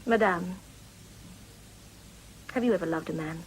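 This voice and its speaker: An actress playing a proud, determined young upper-class wife in a period radio drama, speaking formally and insistently. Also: female voice, fairly low pitch, unhurried, a little hiss or hum, close to an everyday voice, rough recording. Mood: fervent. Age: young adult.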